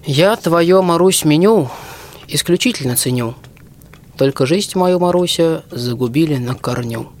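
Loyal archer husband played by a man: fairly high voice, folksy verse. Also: expressive, measured pace, darkish tone. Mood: troubled. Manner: folksy rhymed speech. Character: plain-spoken, loyal young husband, a royal archer. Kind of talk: fairy-tale radio play.

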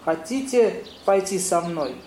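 High-pitched young gentleman played by a man, flustered and polite, halting foreign grammar, roomy audio-play sound. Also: lively intonation. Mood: polite, charming. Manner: halting, ungrammatical foreigner's speech. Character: courteous young Italian man, flustered, speaking broken Russian. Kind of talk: amateur audio play acting. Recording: roomy echo, noisy birdsong ambience.

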